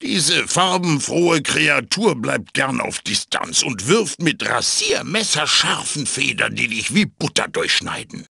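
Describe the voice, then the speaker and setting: A wise old-style magic mask spirit for a video game, male, raspy n super animated, wry theatrical delivery. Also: mid-range voice, a little slow, few pauses, dry recording, clean studio sound, heavily acted. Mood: theatrical, ominous. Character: wise, quirky talking wooden witch-doctor mask spirit, male. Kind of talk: theatrical video game creature guide narration.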